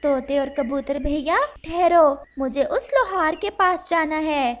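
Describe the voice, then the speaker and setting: A woman voicing a caring, gentle mother sparrow in a Hindi children's cartoon, with simple, clearly addressed lines. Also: high voice, a little slow. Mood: earnest, kindly.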